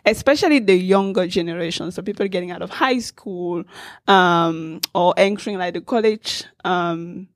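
A woman's voice: lowish voice, normal speed, dry recording. Earnest woman from Cameroon, dry sound. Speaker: panelist. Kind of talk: panel discussion.

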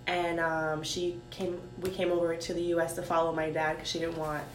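Woman, low-ish voice, reflective, lots of ums, roomy room, faint rumble behind. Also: level tone, moderate pace. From Haiti. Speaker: guest sharing her story. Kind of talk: casual conversation.

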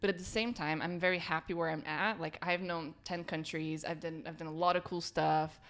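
A woman's voice: low voice, steady tone, a little fast, a bit bright. A woman in her late teens from Brazil, talking thoughtfully and earnestly in a casual chat.

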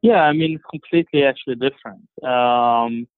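A man's voice: medium pitch, no echo, soft background music. Egyptian-born man on a tinny phone line. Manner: halting, many fillers and restarts. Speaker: interview guest. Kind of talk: casual conversation.

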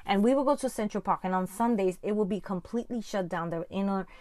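A woman's voice: medium pitch, fast, a little mellow, no echo, clean studio sound. A woman with a Spanish accent, a native Spanish speaker, hosts a casual Bible-teaching podcast in a conversational, earnest and emphatic way.

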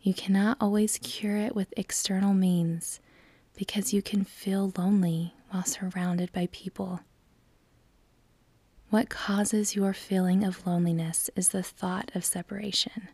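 A woman with a soft, breathy, near-whispered voice leads a guided meditation, calm and soothing, with long measured pauses. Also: mid-range voice, no echo.

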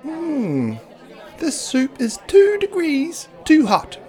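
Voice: high voice, huge pitch swings, slow, darkish tone, some chatter behind, pitched above the performer's own voice, heavily acted. A performer voicing a fussy, prim female parking officer in a chirpy, precise children's storybook voice.

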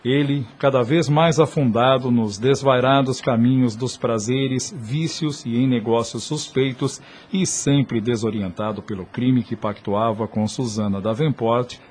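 A man's voice: mid-range voice, steady tone, measured pace, few pauses, a little mellow, slight background noise. Solemn male radio drama narrator. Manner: solemn, literary storytelling.

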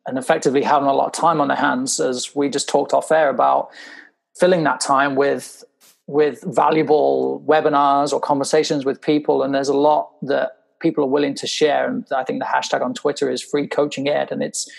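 A man's voice: medium pitch, little pitch movement, a little fast, gravelly. A man hosting a casual podcast interview, chatty and often repeating words as he thinks. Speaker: podcast host.

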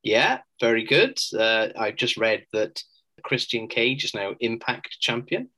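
A man co-hosting a podcast, talking in a measured, thoughtful way as he weighs things up in casual chat. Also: medium pitch, big pitch swings, pushed and bright. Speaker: podcast co-host.

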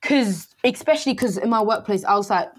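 An earnest young woman who grew up in Germany, with German as her first language. She tells personal stories in a relaxed podcast conversation, circling back with fillers as she goes. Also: mid-range voice, fairly quick. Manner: earnest storytelling with many fillers. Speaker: guest. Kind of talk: casual podcast chat.

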